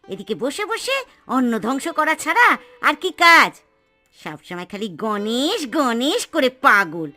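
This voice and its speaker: A woman playing a bitter, sharp-tongued middle-aged aunt in a cartoon, scolding and sarcastic. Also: high voice, big pitch swings, no echo, soft background music, heavily acted. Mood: irritated, scolding. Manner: scolding, sarcastic, drawn-out complaining. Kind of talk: cartoon voice acting.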